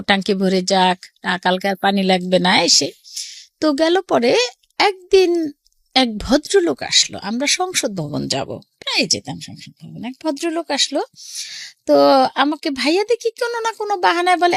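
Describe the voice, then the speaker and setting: A woman's voice: high voice, gravelly, no room sound, studio quality. A middle-aged woman with a Bangladeshi accent tells her life story casually and conversationally, often quoting family dialogue.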